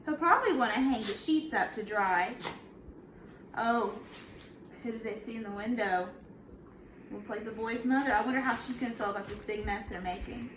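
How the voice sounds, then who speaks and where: High-voiced woman reading to young children. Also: normal speed, long pauses. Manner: instructive, prompting listeners with questions. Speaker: kindergarten teacher. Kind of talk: picture-book read-aloud for young children. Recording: echoey room, clear background noise.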